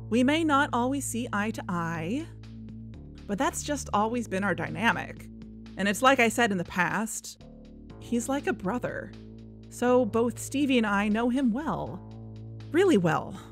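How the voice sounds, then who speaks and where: A woman playing a sincere young podcaster, narrating an audio drama in a measured, natural way. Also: fairly high pitch. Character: sincere young female podcaster and amateur investigator.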